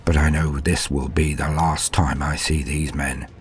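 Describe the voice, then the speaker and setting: A man performs a dutiful medieval fantasy knight, afraid yet steadfast, in a deep, low voice. He tells his tale as solemn audio drama, with grave, archaic phrasing and dramatic pauses, over faint music. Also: little pitch movement. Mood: resigned resolve. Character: frightened but dutiful male knight recounting doomed battle. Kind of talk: dramatic first-person audio drama narration.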